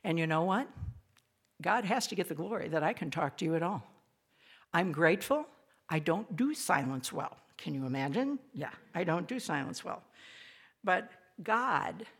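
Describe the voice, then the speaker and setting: An older woman speaks to an audience with a raspy, somewhat low voice. Her delivery is chatty and lively, full of quick asides that poke fun at herself. She sounds like she is in a small old hall. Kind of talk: spoken devotional talk to an audience.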